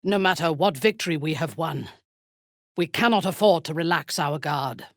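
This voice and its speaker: Ruthless drow elf lady for a video game, low husky voice, slow n menacing, dry close sound. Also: expressive, dry recording. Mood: grave, resolute. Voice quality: husky, breathy. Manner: imperious, deliberate, formal diction. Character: haughty, ruthless drow elf warrior woman, commanding and menacing. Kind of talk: video game voice acting, dramatic character lines.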